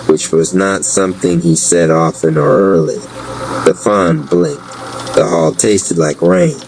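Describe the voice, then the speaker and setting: A man giving a solemn, measured spoken-word narration of a scripted story. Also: low voice, expressive, gravelly, background noise.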